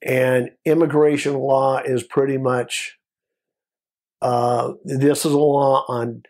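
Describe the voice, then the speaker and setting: An American man in his sixties with a husky, gravelly voice talks as a guest in an interview. He tells his stories in a casual, anecdotal way, often in short clipped phrases, at a middling pitch. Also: darkish tone. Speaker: guest, former Border Patrol agent.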